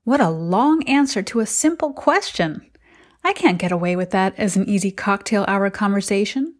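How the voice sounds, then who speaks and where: A woman's voice: medium pitch, lively intonation, normal speed. A middle-aged woman with an American accent, narrating a personal podcast as its host from a prepared script. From United States.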